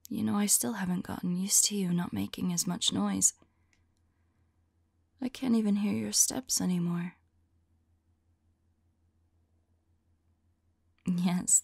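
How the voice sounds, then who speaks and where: A woman playing a tender, devoted vampire lover in an intimate ASMR roleplay, speaking softly and affectionately, often in a whisper. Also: on the low side, dry recording, clean studio sound. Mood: fond, teasing. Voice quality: soft, breathy, often whispering. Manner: slow, wistful, affectionate.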